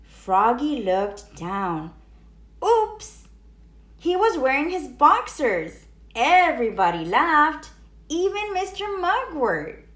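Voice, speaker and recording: A woman's voice: high-pitched, big pitch swings, slow, fairly bright tone. A woman reading a children's storybook aloud, expressively and with emphatic sound words. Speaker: teacher reading children's books aloud, channel host. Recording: roomy echo.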